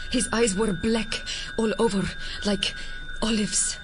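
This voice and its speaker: Actress as a frightened wounded woman over loud music. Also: medium pitch, steady tone, slow pace, natural voice. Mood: shaken, fearful. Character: frightened, gravely wounded adult female hospital patient. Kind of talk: audio drama acting. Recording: dramatic music behind the voice.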